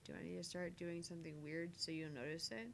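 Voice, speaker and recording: A woman's voice: little pitch movement, fast, gravelly. A woman from the American South bantering casually as a game streamer. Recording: rumbly background noise.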